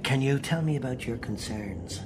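A man performing an Irish private detective for a radio play. He is brisk but reassuring, with an expressive, swinging pitch. The recording has clear noise behind it. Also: natural voice. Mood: calm, reassuring. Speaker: actor.

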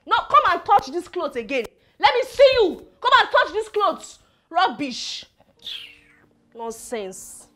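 Brief: high-voiced, quarrelsome Nigerian woman in Pidgin , played by an actress, lively and mocking. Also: takes it slowly, full character voice. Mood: scolding. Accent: Nigerian, Pidgin English. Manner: confrontational, mocking, speaks in Pidgin English. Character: brash, loud-mouthed young woman visitor, quarrelsome.

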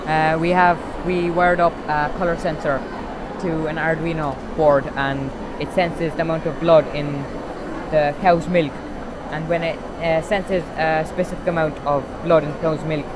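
A man's voice: a little high, little pitch movement, normal speed, noisy background. A teenage boy with a Cork accent explaining his milking sensor matter-of-factly. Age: teens. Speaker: student exhibitor.

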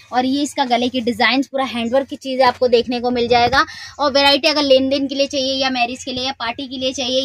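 High-voiced woman pitching suits for sale. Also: rough recording. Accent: Hindi speaker from North India. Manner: chatty repetitive selling patter. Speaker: shop video host showing wholesale suits. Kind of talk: product showcase sales pitch.

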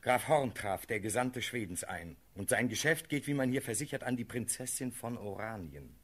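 An actor playing a prince's loyal, anxious friend in a radio play, speaking verse in an earnest, measured, husky voice. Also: male voice, medium pitch, lively intonation, fairly bright tone. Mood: probing.

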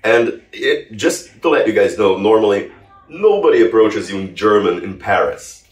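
Interview guest: man, thirties, from Brazil, native Portuguese speaker, deep-pitched, casual storyteller, echoey room recording. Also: expressive, moderate pace. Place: indoor living room, on a sofa. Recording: roomy, distant microphone.

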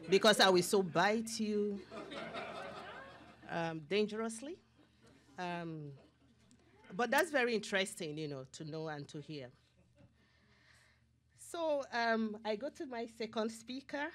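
Playful woman moderating a panel. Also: on the low side. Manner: playful, lively introductions. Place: conference room.

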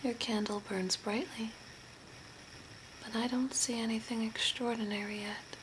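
A woman voicing an eerie, deadpan female zombie makeover artist in a soft, breathy, mostly whispered ASMR role play, speaking slowly and deliberately. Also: a little high, natural voice. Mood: curious, deadpan. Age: young adult. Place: small curtained room at home, indoors. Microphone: close to the camera. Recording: noisy amateur home recording.

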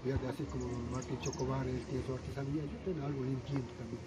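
Man, 60s, halting repeated phrasing, mid pitch, loud outdoor noise. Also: level tone. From Calchaquí Valleys, northwest Argentina. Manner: halting, repeats words, plain-spoken. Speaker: folk singer interviewed. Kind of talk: casual interview answers.

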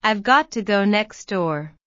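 A woman modelling English phrases for learners, repeating each one slowly and then word by word. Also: mid-range voice, lively intonation, sharp tone, dry recording. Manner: very slow, carefully enunciated. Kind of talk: slow drill reading, word by word. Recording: dull, slightly lo-fi sound.

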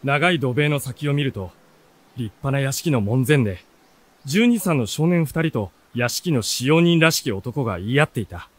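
An actor playing an earnest seventeen-year-old bookish runaway who narrates and speaks in a radio drama. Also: male voice, mid-range voice, moderate pace, close to an everyday voice. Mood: curious narrating. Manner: expressive, earnest storytelling.

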